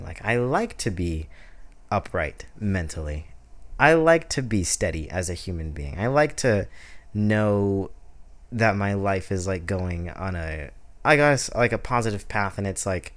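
Rambling young man in his twenties. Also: fairly low pitch. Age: 20s. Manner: rambling, heavy use of "like", restarts. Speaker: podcast host. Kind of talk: casual solo podcast chat.

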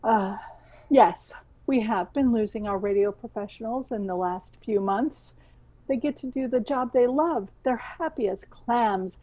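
A woman playing a proud, stubborn boss of a failing radio station in a scripted comedy, with brisk, dryly theatrical delivery. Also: a little high, dark tone, rough recording. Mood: forced optimism.

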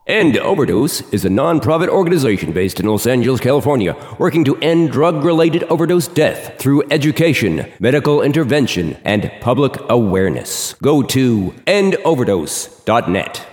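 A man's voice: medium pitch, big pitch swings, moderate pace, few pauses, darkish tone. Man announcing with a long reverb tail. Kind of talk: announcer reading a short notice.

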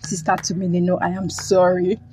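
A woman's voice: fairly low pitch, completely flat delivery, fairly quick, warm tone, faint music behind, natural voice. A woman playing a concerned, protective, devout friend in an audio drama, with a Nigerian accent and an earnest, emphatic way of repeating phrases. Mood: reassuring.

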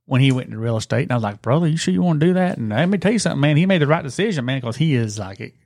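An earnest man in his podcast guest spot, speaking at an ordinary pitch and peppering his talk with "man." His voice is recorded dry and close. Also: lively intonation, very fast, darkish tone, no room sound. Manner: earnest, says "man" a lot. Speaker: podcast guest, retired police officer. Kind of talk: casual chat.

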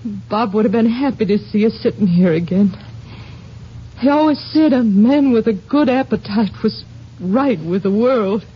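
A sad gracious widow in an old western radio play, breathy and hesitant, dull recording. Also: female voice, fairly high pitch, animated, unhurried, full character voice. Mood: wistful. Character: grieving, gracious small-town sheriff's widow. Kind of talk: 1950s western radio drama acting.

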